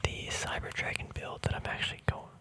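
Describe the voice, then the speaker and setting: An ASMR host speaking in a casual, rambling whisper, hesitant and thinking aloud. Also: fairly quick. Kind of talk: ASMR whispering, casual card unboxing chat.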